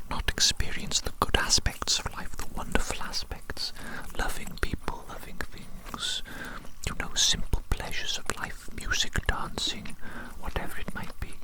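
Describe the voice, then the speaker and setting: An ASMR performer playing an evil mad-scientist doctor who muses slowly and dotingly in a breathy whisper, repeating phrases softly. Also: natural voice, poor audio. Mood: brooding, philosophical. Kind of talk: whispered ASMR roleplay monologue.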